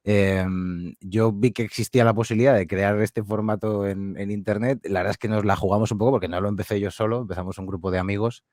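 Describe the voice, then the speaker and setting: A man speaking in a relaxed, thoughtful way as a podcast guest, using many fillers and tag questions. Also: lowish voice, quick pace, hardly any pauses, no echo, clean studio sound. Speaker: podcast guest, audio fiction producer. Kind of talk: casual interview answers.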